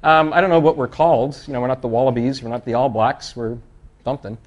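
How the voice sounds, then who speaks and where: A man's voice: medium pitch, fairly even intonation, quick pace, dull audio. A man with a Canadian accent from the East Coast giving a chatty, joking conference talk full of rambling, self-deprecating asides. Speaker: conference presenter.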